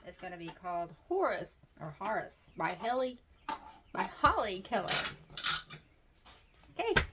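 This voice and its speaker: A woman reading a children's picture book aloud as a storytime host, with expressive storytelling. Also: mid-range voice, a little slow. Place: messy playroom at home. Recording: noisy home room with clicks.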